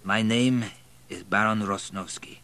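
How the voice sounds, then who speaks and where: Old blind baron on his deathbed for an audiobook, played by a man. Low, frail, whispery, labouring over words, dignified and resigned. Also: fairly even intonation, bright, forceful tone. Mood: solemn, failing. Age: older. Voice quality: weak, breathy, fading to whispers. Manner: slow, labouring, commanding.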